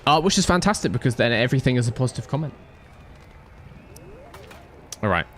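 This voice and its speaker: A man's voice: medium pitch, animated, fairly quick, fairly bright tone. A man streaming a racing game, commenting playfully and joking with his chat. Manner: playful, jokey, talks to chat. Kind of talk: live gameplay commentary.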